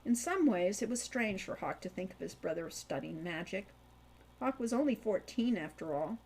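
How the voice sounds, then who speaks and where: A woman's voice: medium pitch, animated, moderate pace, a little mellow, a little hiss or hum. A woman reading scripted fiction aloud as an audiobook narrator.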